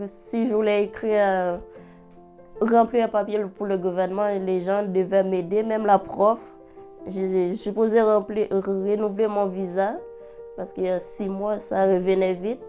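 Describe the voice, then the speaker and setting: A woman for whom French is a second language speaks in a calm, plainspoken way. Her voice is warm and mellow, nearly flat in tone. The recording sounds dull and lo-fi, with faint music behind her. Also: medium pitch, a little slow. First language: not French. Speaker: adult literacy learner. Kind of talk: personal testimony.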